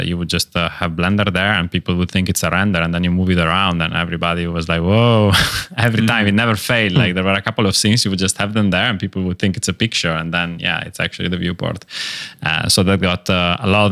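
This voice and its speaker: A man's voice: deep voice, sharp tone, no echo, studio quality. A man hosting a podcast in casual chat, talking with enthusiasm and rambling through many fillers. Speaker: podcast host.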